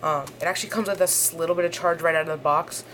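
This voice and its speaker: A woman with a slightly hoarse voice, rambling through a casual unboxing review with lots of fillers. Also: lowish voice, steady tone, background noise. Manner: rambling, halting, many fillers. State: hoarse, under the weather. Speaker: tech reviewer.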